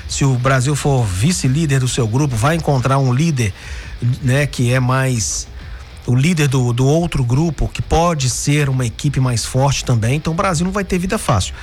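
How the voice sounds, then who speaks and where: Chatty Brazilian sports radio host. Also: male voice, mid-range voice, moderate pace, a little hiss or hum. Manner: chatty, frequent "né?" tags and restarts. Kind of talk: sports commentary show.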